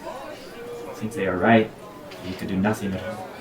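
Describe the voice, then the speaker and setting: A man playing a charming, idle young gentleman in a period audio drama, teasing and courtly in formal phrases. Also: deep voice, level tone, measured pace, roomy sound, a crowd in the background. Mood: serious.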